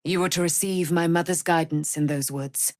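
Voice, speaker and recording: An actress playing a proud, wrathful immortal warrior, daughter of a moon goddess, declaiming in grand archaic speech for a fantasy game. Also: female voice, on the low side, fairly even intonation, normal speed, darkish tone, no echo, clean studio sound, close to an everyday voice. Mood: solemn, grave. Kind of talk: theatrical fantasy game voice acting.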